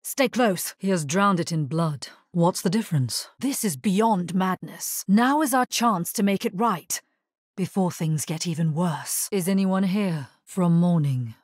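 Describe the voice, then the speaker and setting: A woman playing a grave, resolute necromancer hero in a video game, husky-voiced, speaking in a measured, solemn and terse way. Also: on the low side, expressive, darkish tone, no room sound, clean studio sound. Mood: solemn, determined. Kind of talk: scripted video game character lines.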